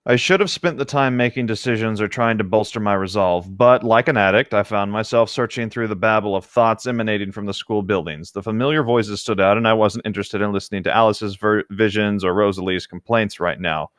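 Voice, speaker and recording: Male co-host narrating fiction informally, somewhat deep pitch, very dry studio-like sound. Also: brisk, few pauses, a bit bright, no room sound. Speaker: co-host of a comedic read-aloud show. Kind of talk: reading novel narration aloud with casual asides.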